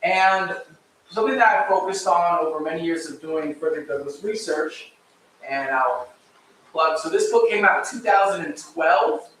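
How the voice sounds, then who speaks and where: A man giving an informal history talk to a live audience, speaking loudly and conversationally and asking the room questions. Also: a little high, slight background noise. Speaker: guest speaker and local historian. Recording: very echoey room, distant mic.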